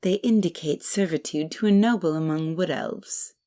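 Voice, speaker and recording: A woman's voice: lowish voice, moderate pace, warm tone, lightly acted. A woman playing a curious, questioning bandit in a fantasy audio drama.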